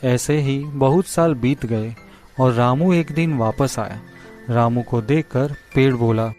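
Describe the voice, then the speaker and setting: A man narrating a scripted children's story in a simple, measured way. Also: mid-range voice, a little mellow, soft background music.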